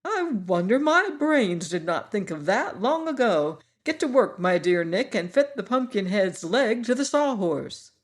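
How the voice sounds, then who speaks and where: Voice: mid-range voice, very animated, a little slow, few pauses, pitched above the performer's own voice. A reader voicing a cheerful male scarecrow who is proud of his brains. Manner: proud and approving.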